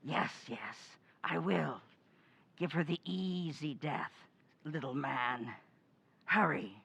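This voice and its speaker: A woman's voice: low-pitched, vocal fry, a bit bright, slightly rough, full character voice. A woman playing a menacing, bloodthirsty undead old woman who taunts and commands in old-time radio horror style. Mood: commanding, urgent. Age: older. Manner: slow, taunting, commanding, gloating.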